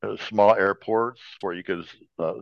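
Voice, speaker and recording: Casual American male co-host, low-pitched, anecdotal and joking, slightly muffled sound. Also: fairly even intonation, a little slow, vocal fry, dry recording. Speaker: podcast co-host. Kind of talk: casual chat.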